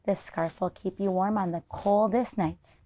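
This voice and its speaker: A kindly elderly grandmother from a children's storybook, played by a woman reader. She is chatty and fondly hospitable. She fusses over her company with exclamations, in a medium-pitched voice. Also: steady tone, measured pace, warm tone, close to an everyday voice. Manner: fussing, exclamatory.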